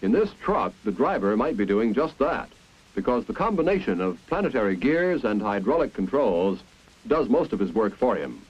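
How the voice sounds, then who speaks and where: A man's voice: lowish voice, very animated, a little mellow. Dull vintage film soundtrack, American male narrator. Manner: clear, deliberate, explanatory. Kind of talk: 1950s instructional film narration, scripted. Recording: dull, band-limited 1950s film soundtrack.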